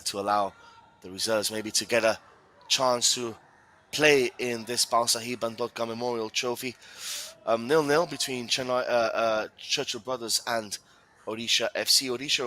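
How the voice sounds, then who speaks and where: A man's voice: mid-range voice, sharp tone. A man from Gibraltar doing live play-by-play football commentary, chatty and reading out viewer questions.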